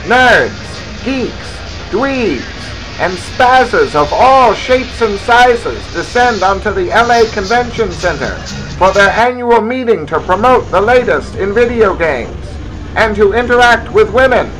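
A man's voice: sits high, animated, slow, music in the background, rough recording. A man narrating a parody old-time newsreel in a mock-grandiose announcer delivery.

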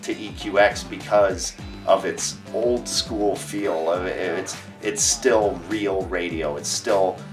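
Male radio DJ, very low voice, emphatic interview delivery, slightly distant over guitar music. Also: slow. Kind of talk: documentary interview.

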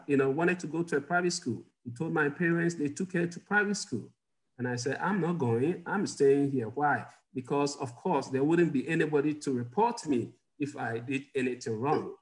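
A man's voice: a little high, rough recording. A middle-aged man from Ghana, a guest doctor telling personal stories in an interview over a video call from a home office. He chuckles often and puts questions to his audience.

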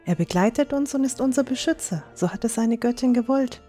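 A woman playing a tender-hearted young dwarf in an audio drama, talking gently and soothingly. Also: on the high side, animated, fairly quick, soft background music, lightly acted.

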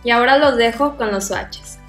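A young woman with a Mexican accent hosts a makeup review in a fairly high voice. She speaks casually and with enthusiasm. The room adds clear echo, and music plays behind her. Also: normal speed. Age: young adult. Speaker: beauty reviewer and video host. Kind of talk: makeup product review to camera.

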